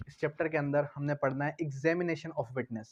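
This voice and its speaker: A man's voice: fairly high pitch, fairly even intonation, normal speed, dull audio. A man with a Pakistani accent teaching law in a didactic lecture style, repeating key terms and posing questions that he then answers. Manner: didactic, repetitive, asking and answering own questions. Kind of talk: explanatory lecture mixing Hindi-Urdu with English legal terms.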